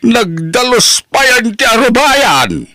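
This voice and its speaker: Casting: male actor for scandalised elder village uncle, Ilocano accent, very lively melody, close dry sound, radio drama. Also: extremely high pitch, takes it slowly, dry recording, exaggerated voice. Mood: friendly, chatty. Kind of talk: amateur Ilocano radio-style drama acting.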